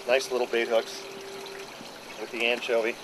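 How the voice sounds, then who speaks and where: A man's voice: mid-range voice, monotone, normal speed. A middle-aged man, a fishing boat captain, giving a practical, plain-spoken briefing to a group on the deck of a docked boat. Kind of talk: instructional briefing to a charter group. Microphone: camera mic from a few feet away. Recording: outdoor camera audio with clear background noise.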